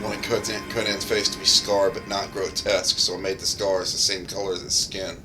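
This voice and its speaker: A man's voice: low-pitched, level tone, brisk, low-quality recording. A man giving casual, offhand gameplay commentary, speaking bluntly. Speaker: gamer commenting on own mod. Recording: loud music under the voice. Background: loud music.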